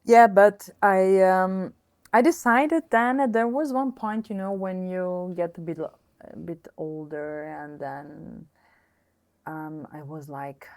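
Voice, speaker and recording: A middle-aged woman from Austria with a low, deep-set voice speaks at an unhurried pace. She is a guest in a relaxed conversation, hesitating often with fillers and false starts. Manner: hesitant, many fillers and restarts. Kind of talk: casual interview conversation.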